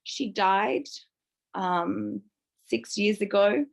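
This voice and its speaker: A woman in her fifties with a croaky voice, sharing raw, distressed feelings in a book club and swearing as she vents. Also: mid-range voice, extremely slow. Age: 50s. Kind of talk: emotional personal sharing.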